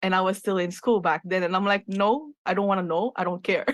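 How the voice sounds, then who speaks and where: A chatty woman hosts a casual chat, telling stories animatedly and acting out what she said. Her voice is mid-pitched. The recording is dry and close. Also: fairly quick, dry recording. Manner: chatty and animated, quotes herself a lot. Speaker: podcast host.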